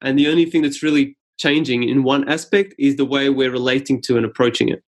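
A man's voice: medium pitch, steady tone. Thoughtful man, Serbian-born. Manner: thoughtful, measured, earnest. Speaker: speaker and podcast guest. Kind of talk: reflective interview talk.

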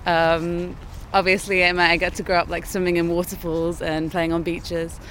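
A woman's voice: on the low side, little pitch movement, normal speed, fairly bright tone, slight background noise. A young woman music student who grew up in Sri Lanka, chatting easily outdoors in a snowy park. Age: young adult. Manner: easygoing, chatty. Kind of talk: casual street interview.

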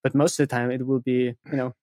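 Male interview guest, mid-pitched, closely recorded with no room sound, explains step by step with frequent check-ins. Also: level tone, quick pace, mellow, dry recording, clean studio sound. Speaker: interview guest, content writer who interviews experts.

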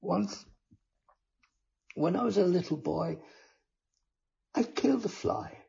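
A man's voice: on the high side, lively intonation, slow pace, darkish tone, pitched above the performer's own voice, exaggerated voice. A man doing a sly, falsely pious sinner. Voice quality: husky, breathy. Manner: wheedling, mock-devout. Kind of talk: storybook reading. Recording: dull lo-fi recording.

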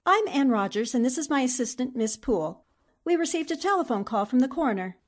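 Plucky, commanding girl reporter for 1940s-style radio drama actress, high, lively voice. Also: a little fast. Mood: confident. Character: brisk, eager, commanding female newspaper reporter.

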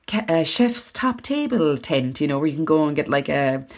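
A woman's voice: low voice, sing-song, normal speed. A woman of about forty with an Irish accent, chatting casually and informally as a podcast co-host, with the odd swear word. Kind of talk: casual chat.

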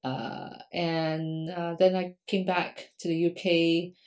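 A woman's voice: on the low side, flat delivery, takes it slowly, darkish tone. A woman from Singapore, an academic guest on a podcast, answering questions conversationally with frequent ums.

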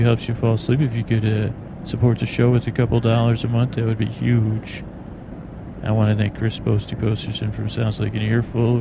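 An American man hosting a bedtime podcast, rambling in a halting, meandering, soothing way. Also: lowish voice. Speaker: podcast host. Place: aboard an airplane in flight. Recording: clear engine and cabin noise. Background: steady plane noise.

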